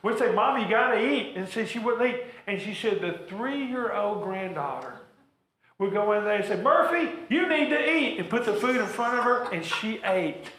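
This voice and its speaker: A man's voice: sits high, lively intonation, moderate pace, fairly bright tone. A man presenting a church workshop to an audience, talking earnestly and stumbling over repeated words. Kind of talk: practical talk to a church audience. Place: church hall. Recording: roomy echoing hall.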